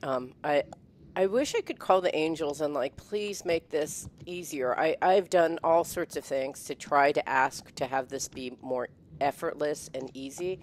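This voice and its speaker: Middle-aged woman, guest on a video call, headset mic, emotional and animated, frequent laughs and restarts. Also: low voice, unhurried, slight background noise. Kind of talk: casual chat. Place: home room, indoors.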